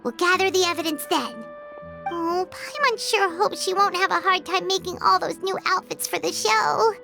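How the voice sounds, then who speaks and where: A woman voicing a small, chirpy, childlike fairy companion in a video game, eager, cheerful and excitable. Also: very high-pitched, moderate pace, gravelly, heavily acted. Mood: bright, eager. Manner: refers to herself in third person, excitable. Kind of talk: video game dialogue acting. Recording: music playing behind the voice.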